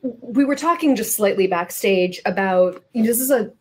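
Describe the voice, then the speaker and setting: A woman's voice: mid-range voice, animated, normal speed, darkish tone. A woman moderating a literary interview, conversational and a little wry, building long questions piece by piece.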